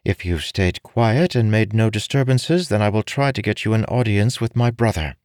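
A man's voice: lowish voice, lively intonation, normal speed, darkish tone, no room sound, clean studio sound, pitched above the performer's own voice, close to an everyday voice. A man voicing a calculating, imperious noblewoman in an audiobook story, curt, formal and commanding.

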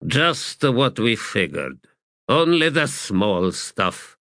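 A man's voice: mid-range voice, sing-song, slow, fairly bright tone, dry recording, clean studio sound, voice pushed up, exaggerated voice. A man voicing a babbling, callous soldier shaman in an audiobook, with folksy bad grammar. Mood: chatty, unbothered. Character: slovenly, chatty male soldier shaman, cheerfully callous.

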